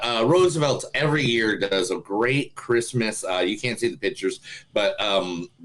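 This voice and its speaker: Low-voiced guy co-hosting a movie podcast, laid-back, rambly, reads an ad casually. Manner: laid-back, loose banter with rambling asides. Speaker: podcast co-host. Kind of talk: casual chat and read sponsor ad.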